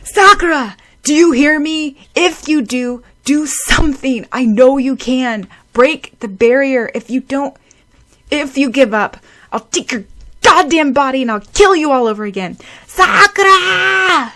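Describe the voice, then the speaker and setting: A woman voicing a brash, hot-tempered young male protector who shouts and threatens. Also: sits high, lively intonation, unhurried, pitched above the performer's own voice, heavily acted. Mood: desperate shouting.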